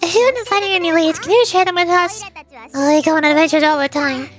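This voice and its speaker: Woman putting on a chirpy, high little game sprite. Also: fast talker, faint music behind. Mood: eager. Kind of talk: live dub of game dialogue.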